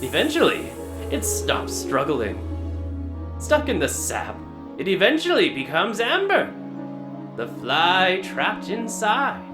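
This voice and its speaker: An actor playing an older, grandiose park founder, presenting a tour video with dramatic, showmanlike storytelling in an audio drama. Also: male voice, high voice, wildly swooping pitch, slow pace, roomy sound, over music, exaggerated voice. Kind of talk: theatrical scripted presentation.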